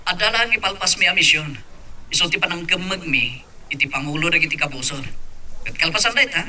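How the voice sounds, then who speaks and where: A man with a Filipino accent playing a steady, confident young soldier husband in an audio melodrama. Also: on the high side, fast. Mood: reassuring. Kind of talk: Filipino radio-style melodrama acting. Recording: distant mic with background noise.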